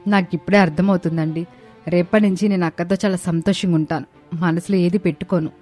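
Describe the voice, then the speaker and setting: A woman playing low-voiced, earnest Indian family woman. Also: fairly even intonation, brisk, darkish tone, natural voice. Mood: apologetic. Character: earnest young Indian daughter-in-law and her scolding household women. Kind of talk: dramatized family moral story dialogue. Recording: music behind the voice.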